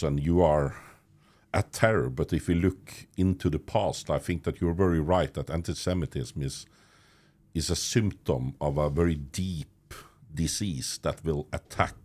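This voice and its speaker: A man's voice: very deep voice, darkish tone. A man hosting a podcast interview, speaking English with many restarts and little chuckles. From Norway. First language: Norwegian. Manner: halting, many restarts, chuckles mid-sentence. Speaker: podcast host. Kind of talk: conversational interview.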